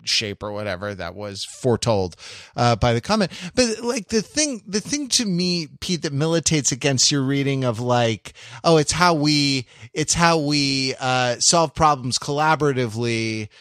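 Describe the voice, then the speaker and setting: Man, mid-pitched voice, dry close sound, halting casual analysis, podcast co-host. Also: lively intonation, a bit bright, no echo. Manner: halting, repeats words while working out points. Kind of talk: casual analytical chat about television.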